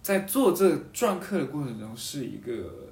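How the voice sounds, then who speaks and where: A man chatting casually and reflectively as a guest, thinking aloud in a halting way and often repeating his phrases. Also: medium pitch, very animated, vocal fry, a little mellow, distant mic. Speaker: podcast guest talking about a hobby of seal carving. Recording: roomy echo, off-mic, faint background noise.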